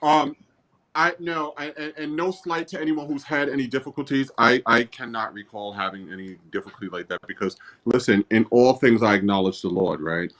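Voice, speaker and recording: A man's voice: mid-range voice, brisk, fairly bright tone. A man speaking as a guest on an online talk show, confident and preacherly, at ease quoting scripture in casual talk. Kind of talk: casual chat.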